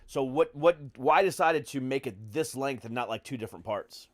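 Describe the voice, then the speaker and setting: A man's voice: mid-range voice, normal speed, no room sound. A man hosting a podcast, chatting informally in a casual interview style and swearing freely. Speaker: podcast host. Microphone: video call.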